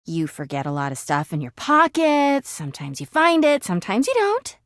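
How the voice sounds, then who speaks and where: A woman's voice: mid-range voice, huge pitch swings, normal speed, no room sound, clean studio sound. A woman voicing a clingy, jealous talking laundry hamper in a video game, gushing sweetly and flipping into bitter drama. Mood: wistful, confiding. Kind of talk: video game character voice acting.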